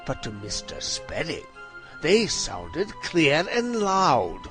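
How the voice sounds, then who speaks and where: An actor playing a brilliant, self-assured Victorian gentleman detective in an audio drama, with a precise, formal and theatrical delivery. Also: a little high, huge pitch swings, slow pace, over loud music, heavily acted. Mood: self-assured, explanatory.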